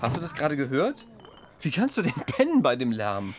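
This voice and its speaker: A man's voice: medium pitch, huge pitch swings, moderate pace. Irritable young man in a radio play, voice swings around a lot, noisy background. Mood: angry, exasperated. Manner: irritable, hesitant when polite. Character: exasperated sleepless young man, fed up with noise. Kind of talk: naturalistic German radio drama dialogue.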